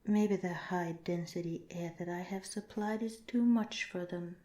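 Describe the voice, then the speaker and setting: A woman voicing a calm, curious alien researcher in a softly spoken, part-whispered ASMR roleplay, with formal, measured, clinical phrasing, in an echoing recording. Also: lowish voice, lightly acted. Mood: detached, observing. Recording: roomy, echoing voice.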